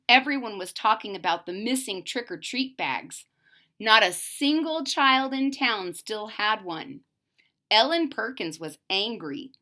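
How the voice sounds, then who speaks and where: Woman, children's storyteller, unhurried pace, bright tone, dramatic picture-book read-aloud. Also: mid-range voice. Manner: dramatic, slow, pausing to ask questions. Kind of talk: expressive read-aloud of a picture book with questions to young listeners.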